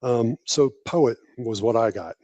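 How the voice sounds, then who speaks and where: A man's voice: mid-range voice, a little slow, warm tone, no echo, lo-fi sound. A man giving conversational interview answers, explaining things plainly in short declarative phrases. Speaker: podcast guest, technology professional and army veteran.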